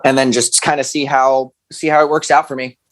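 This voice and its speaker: A man's voice: mid-range voice, flat delivery, a little fast, a bit bright. A thirty-year-old man chatting casually as a musician guest in an interview, hesitant and rambling, with slangy phrasing.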